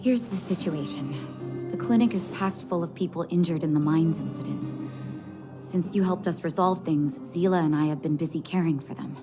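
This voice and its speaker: A woman voicing a gentle, composed doctor in a video game, speaking in a soothing, measured way. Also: low-pitched. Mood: grave. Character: gentle, composed female doctor caring for an underground town. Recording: game music behind the voice. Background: music.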